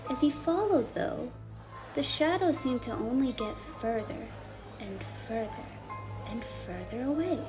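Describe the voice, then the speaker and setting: A higher-pitched woman performing as a female bar storyteller tells a Halloween ghost story for an audio drama. The delivery is slow, theatrical and teasing, built on suspense, with faint music behind it. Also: lively intonation, rough recording. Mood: suspenseful.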